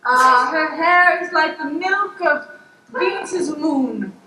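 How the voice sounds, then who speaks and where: A woman's voice: high-pitched, takes it slowly, pushed and bright, far from the mic, heavily acted. A woman playing a lofty, meddling goddess in improvised stage comedy, declaiming in mock-poetic phrases. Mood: dreamy. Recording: echoey stage pickup from afar, faint noise.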